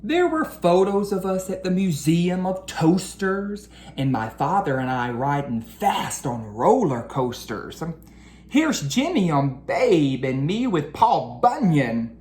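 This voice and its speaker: A woman reading a storybook aloud to children in an expressive, deliberate, sing-song way. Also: on the low side. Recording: roomy echo with faint background noise.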